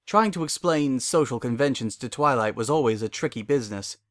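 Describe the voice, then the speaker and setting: Guy reading a story out as narrator, medium pitch, very dry recording. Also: expressive, brisk, fairly bright tone, dry recording.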